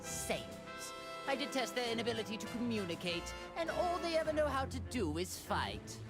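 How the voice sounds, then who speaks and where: A man's voice: very high voice, sing-song, normal speed. A man playing a haughty, cruel alien tyrant in an anime fighting game, with pompous, refined and disdainful speech. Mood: smug, scornful. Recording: music behind the voice.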